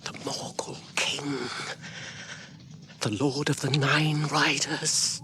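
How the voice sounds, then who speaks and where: An actor playing a wounded, weary, haunted hobbit in a radio drama, speaking in a strained, earnest, halting way. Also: male voice, on the high side, little pitch movement, noisy background. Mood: weary, despairing.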